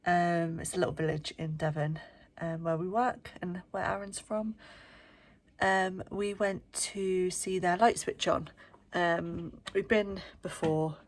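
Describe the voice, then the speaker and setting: Young woman, vlogger, a touch low-pitched, nasal from a cold, chatty and hushed at times. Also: steady tone, takes it slowly. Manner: chatty, with frequent ums, sometimes hushed. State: bunged up with a cold. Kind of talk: casual chat to camera. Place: workplace indoors, early morning.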